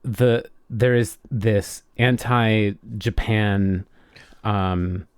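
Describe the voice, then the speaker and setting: A man chatting casually and reflectively as a podcast co-host, often halting as he searches for words. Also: fairly low pitch, steady tone, smooth, dry recording, studio quality.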